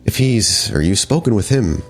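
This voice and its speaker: A man voicing a courteous, guarded female vampire club owner in a tabletop game, with measured, formal phrasing. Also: fairly low pitch, lively intonation, soft background music.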